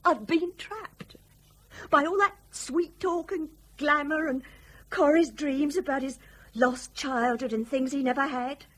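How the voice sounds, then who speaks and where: A woman's voice: high-pitched, a little slow. An actress in a radio drama playing a bitter, trapped wife and mother, fed up and scornful. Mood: aggrieved.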